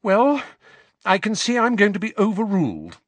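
A man acts out a protective middle-aged mother from a storybook. The voice is pushed high and swings widely, with fussy, indignant force. It turns shrill with anger. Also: wildly swooping pitch, normal speed, fairly bright tone, no room sound, heavily acted. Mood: insistent, fierce. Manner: indignant, shrill when angry.

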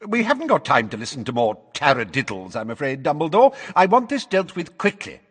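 A lofty, bullying male official performed by a male narrator in an audiobook courtroom. He is supercilious and impatient, barking with wide swings of pitch in a husky voice, with long reverb around it. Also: very animated, normal speed, a bit bright. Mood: irritated.

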